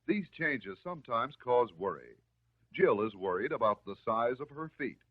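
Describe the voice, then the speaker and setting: An American man delivering scripted narration in the style of a 1950s educational film, measured, instructive and reassuring. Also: medium pitch, very animated. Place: voice-over recorded for film. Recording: muffled, narrow-band 1950s film soundtrack.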